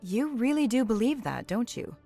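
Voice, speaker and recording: A woman's voice: a little high, big pitch swings, normal speed, dark tone, soft background music. A woman playing a probing television reporter in a science fiction video game, polished and insinuating. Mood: probing, pointed. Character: probing, pointed female television news reporter. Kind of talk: cinematic game voice acting.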